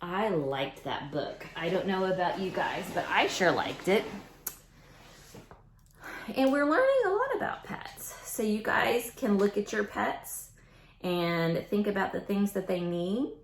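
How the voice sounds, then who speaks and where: Female storytime teacher, lively swooping delivery, mid-range voice, speaks straight to little kids, recorded in a roomy echo. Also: medium pitch, measured pace, slight background noise. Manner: expressive, addresses young listeners directly. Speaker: teacher reading a picture book aloud to children. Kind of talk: read-aloud storytime for young children with chatty asides.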